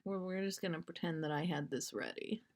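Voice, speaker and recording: A woman's voice: fairly low pitch, fairly quick, a little mellow. A woman podcast host chatting casually on a livestream, giggly and self-deprecating between story readings.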